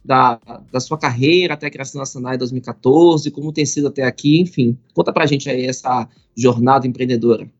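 A man hosting a business podcast, reading a formal introduction and asking questions in a polished, measured way. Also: medium pitch. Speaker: podcast host. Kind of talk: scripted introduction and interview questions. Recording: slightly muffled, faint background music.

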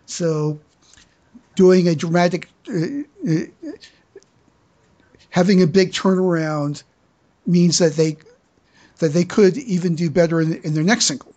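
A podcast host talking through fan news casually, earnest and halting, often repeating words while searching for the next phrase. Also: mid-range voice, mellow, highs cut off.

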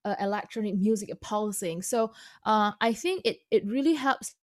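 Female composer guest, Indonesian native speaker, mid pitch, halting, dry recording. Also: no echo. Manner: halting, many fillers and restarts, laughs often. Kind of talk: casual interview.

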